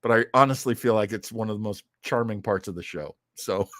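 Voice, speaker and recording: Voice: mid-range voice, fairly quick, a bit bright, no echo, studio quality. A host chatting casually on a livestream in an effusive, playful and theatrical way. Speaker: podcast host.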